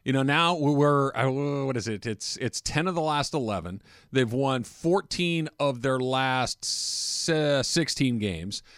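A man's voice: medium pitch, lively intonation, slow, fairly bright tone, no echo, studio quality. An American man hosting a sports talk radio show, bantering and working through points by rephrasing them with analogies.

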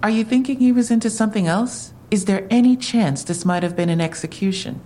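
An actress playing a wry, probing police detective in an audio drama, matter-of-fact and questioning. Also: female voice, mid-range voice, fairly quick, mellow, a little hiss or hum, lightly acted.

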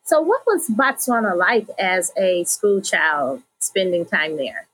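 Husky American woman interviewer, lively pitch. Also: takes it slowly, poor audio. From United States. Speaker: podcast host interviewer. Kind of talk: conversational interview.

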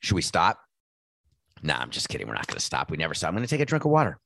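A man hosting a sports podcast, with a raspy voice and a sardonic, mocking way of talking. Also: deep voice, huge pitch swings, very fast, bright, forceful tone, no room sound, studio quality. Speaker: podcast host. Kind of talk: sarcastic sports commentary.

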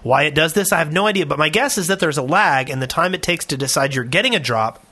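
A man's voice: a little high, lively intonation, quick pace, pushed and bright. A man co-hosting a podcast, chatting casually and reading out listener emails. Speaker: podcast co-host.

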